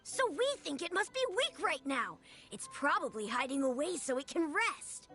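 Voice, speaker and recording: A woman voicing an eager, excitable small village child in an anime video game. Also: sits high, moderate pace, soft background music, exaggerated voice. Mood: excited.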